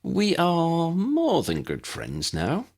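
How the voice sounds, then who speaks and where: Man putting on a high-lifted, teasing young woman. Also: wildly swooping pitch, takes it slowly, darkish tone, full character voice. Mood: delighted, cheeky. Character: bright, teasing young woman, friendly and bold.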